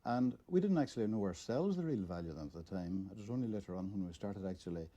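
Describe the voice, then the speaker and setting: A middle-aged man with a Derry, Northern Irish accent, recalling things in an unscripted interview and often halting to search for words. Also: fairly low pitch, animated, warm tone. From Derry, Northern Ireland. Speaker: interviewee, local photo archivist.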